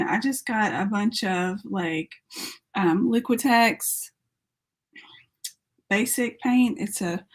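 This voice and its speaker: A woman artist with a sore throat and a fading voice, chatting casually and thinking aloud as she paints during a live tutorial. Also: mid-range voice. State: sore throat, losing her voice.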